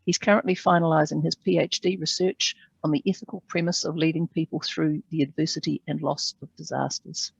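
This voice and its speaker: Gravelly-voiced woman introducing a speaker. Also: deep voice, flat delivery, dry recording. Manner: measured, formal. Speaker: webinar moderator. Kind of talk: reading an introduction. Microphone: video call. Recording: dull dry sound over a video call.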